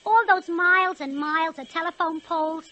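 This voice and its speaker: Needy American sweetheart locomotive: very high, sharp, slangy, hissy vintage radio. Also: female voice, steady tone, normal speed. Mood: lonesome, pleading. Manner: slangy, plaintive sweetheart talk. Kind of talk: 1940s radio play acting. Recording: hissy 1940s radio recording.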